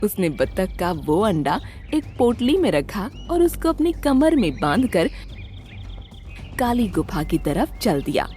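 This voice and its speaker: An animated female storyteller reading a scripted tale for children. Her pitch is middling and moves widely, over clearly audible music. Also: unhurried. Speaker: narrator. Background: background music.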